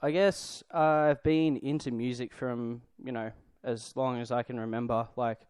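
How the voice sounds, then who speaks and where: A young Australian man in his twenties chats casually as a guest. His voice is clean and smooth, at an average pitch, recorded close and dry. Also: fairly even intonation, moderate pace, darkish tone, no echo. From Melbourne, Australia. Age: 20s. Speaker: drummer, podcast guest. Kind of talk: casual chat.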